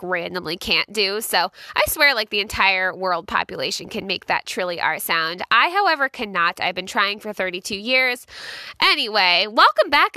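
A woman's voice: mid-range voice, pushed and bright, no room sound. A woman in her thirties hosting a podcast, hyponasal and congested from a cold, chatty and self-mocking with lots of fillers. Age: 30s. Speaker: podcast host. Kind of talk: casual chat.

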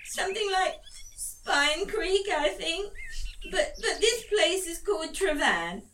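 Woman acting as a crying stammering young woman, very high bright voice, roomy distant sound, faint hiss. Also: sing-song, slow, exaggerated voice. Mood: tearful. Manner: tearful, stammering, small and plaintive. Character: distressed young woman calling her parents.

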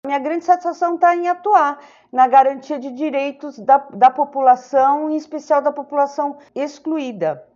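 A woman's voice: sits high, normal speed, darkish tone. A woman, a social worker speaking earnestly in an interview, often tagging her sentences with "né?". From São Paulo, Brazil. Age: 60s. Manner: earnest, with frequent "né?" tags and small restarts. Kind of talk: interview testimony. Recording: dull lo-fi sound.

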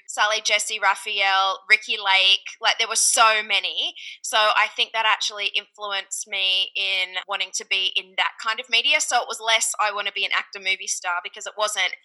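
A woman's voice: medium pitch, sharp tone, low-quality recording. A middle-aged Australian woman with an Australian accent, a podcast agency founder telling her career story in a chatty, upbeat way. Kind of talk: conversational interview answers.

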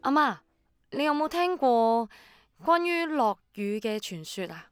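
Woman acting as curious young girl, Hong Kong accent, polite, hesitant, smooth clear voice, fairly high, radio drama. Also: sing-song, unhurried, soft background music. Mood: tentative. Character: curious, doubtful young girl living with busy mother.

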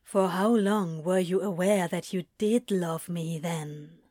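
A woman voicing a tender, insecure male lover who asks earnest, hesitant questions in a hushed audiobook style. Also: on the low side, takes it slowly, no echo, studio quality. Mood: quiet, vulnerable.

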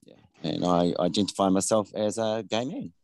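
A man's voice: deep voice, normal speed, a little mellow, dry recording. A man, speaking as a podcast guest in a laid-back, plain-spoken way over a video call. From New Zealand. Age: 50s. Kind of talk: casual interview talk.

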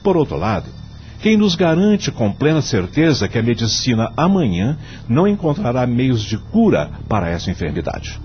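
Man acting eloquent judge and mentor, Brazilian accent, radio drama, formal, very lively intonation, dull lo-fi recording. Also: medium pitch, normal speed, noisy background, close to an everyday voice. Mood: solemn, impassioned. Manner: formal, oratorical, rhetorical questions. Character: eloquent male judge and mentor, devout, firmly principled. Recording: dull lo-fi audio, faint music.

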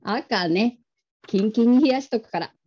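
A woman's voice: fairly high pitch, normal speed, slightly rough. A woman playing a young adult daughter who casually teases and wheedles her father in a radio drama. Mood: breezy. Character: coaxing, teasing grown daughter pleading with her father. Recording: dull lo-fi audio.

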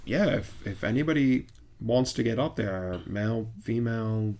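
A man with a lowish voice talks in a relaxed, rambling way. He restarts his sentences often and leans on fillers, like a regular talking shop on a casual podcast. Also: moderate pace. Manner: easygoing, loose, with many restarts and fillers. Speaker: supporters' group capo.

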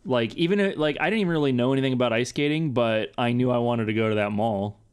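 A man's voice: mid-range voice, fast talker, a little mellow, clear, resonant tone. A man co-hosting a podcast, chatting casually and teasing his friend with profane banter. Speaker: podcast co-host. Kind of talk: casual chat.

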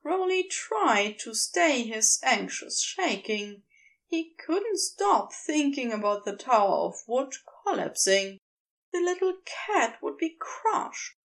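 High-voiced woman narrating with lively intonation. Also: gravelly. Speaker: audio fiction narrator. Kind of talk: scripted story narration.

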